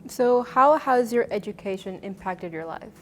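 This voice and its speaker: A woman's voice: fairly high pitch, expressive, normal speed, a little hiss or hum. Young woman asking interview questions. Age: young adult. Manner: friendly scripted questions. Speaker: student interviewer. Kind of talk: studio interview. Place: TV news studio set.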